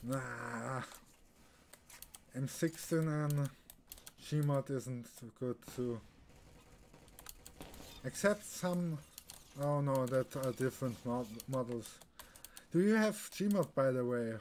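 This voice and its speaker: A man's voice: medium pitch, slow, long pauses, a little mellow. Young austrian guy, german native, casual game stream chatter, takes his time talking, some keyboard noise behind. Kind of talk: casual live gaming commentary. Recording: clear keyboard and background noise.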